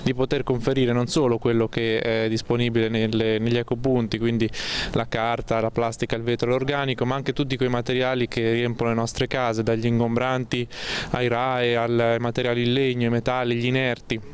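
A man's voice: medium pitch, flat delivery, brisk. A man, explaining a public service in an on-location interview. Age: 30s. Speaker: waste consortium representative. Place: open entrance of a large hall. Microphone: handheld reporter microphone. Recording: clear background ambient noise.

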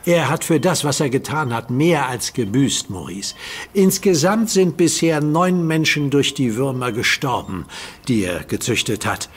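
An actor playing a calm, firm hero who talks patiently and commands in a radio play. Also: male voice, mid-range voice, unhurried, slightly rough. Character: calm heroic young man, firm leader.

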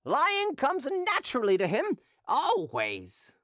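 A shrill, jeering drunken street hawker woman, shouting her abuse at the top of her voice. Her pitch swoops wildly up and down, and the recording is close and dry. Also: huge pitch swings, slow pace, no echo, full character voice. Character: drunken staggering female street hawker, abusive.

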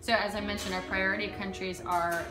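A young woman from Chile explains things in an interview, her voice at a medium pitch. The sound is roomy and echoing, with loud music behind her. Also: flat delivery, brisk. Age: young adult. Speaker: company founder being interviewed. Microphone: camera a few feet away.

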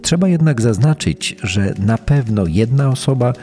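An actor playing a sober forensic investigator, formally reading out a report for a documentary. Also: male voice, medium pitch, lively intonation, normal speed, music in the background, natural voice. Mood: sober, clinical. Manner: formal, clinical.

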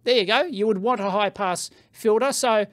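A middle-aged man with an Australian accent, reviewing gear in a casual, excitable way, thinking aloud and laughing at himself in his electronics lab on a studio microphone. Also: sits high, normal speed, no echo. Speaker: electronics video host. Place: cluttered electronics lab indoors. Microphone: studio microphone on a stand.

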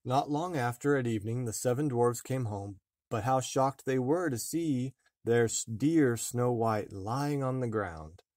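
A man reading a storybook aloud in measured, deliberate narration. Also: mid-range voice, a little mellow, dry recording. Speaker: storybook reader, a father. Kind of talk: read-aloud fairy-tale narration.

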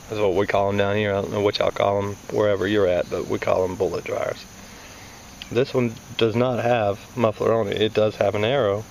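A man's voice: on the low side, creaky, a little mellow. A man explaining parts hands-on as a technician, in a casual, folksy way, thinking aloud as he goes. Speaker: HVAC technician demonstrating parts. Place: outdoors in a yard by a scrap pile. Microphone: handheld camera held by the speaker. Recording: noisy outdoor recording with insects.

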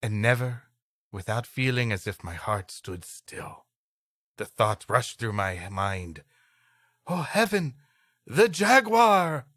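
Lively, bright-voiced man reading aloud. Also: medium pitch, slow, dry recording. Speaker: comedy podcast co-host. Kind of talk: dramatic reading of an old story with comic banter.

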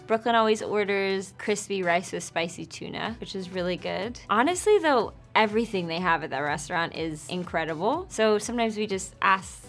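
Woman, 20s, casual talker, mid-pitched, laughs easily, soft music bed under her. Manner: chatty, laughs often, filler "like". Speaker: interviewee. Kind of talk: casual chat to camera. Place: indoor studio before a dark backdrop.

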